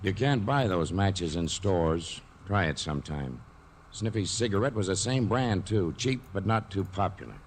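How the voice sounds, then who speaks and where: An actor playing a blunt, dry American police lieutenant in a 1940s radio drama, terse and matter-of-fact. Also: male voice, sits low, expressive, normal speed. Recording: old radio recording with background hiss.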